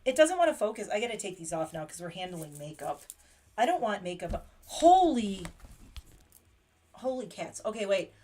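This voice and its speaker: A woman hosting a casual makeup livestream, chatty and excitable, laughing often. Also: medium pitch, normal speed, slight background noise. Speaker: beauty livestream host.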